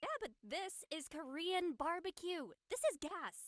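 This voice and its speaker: A woman playing an impatient, excitable young woman in a live online table read. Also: very high voice, unhurried, no room sound, clean studio sound. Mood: enthusiastic.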